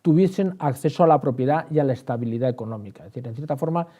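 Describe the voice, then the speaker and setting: A man's voice: medium pitch, racing, dark tone. A man explaining as an interview guest, repeating words and answering his own questions. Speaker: historian and writer, interview guest. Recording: dull, band-limited sound.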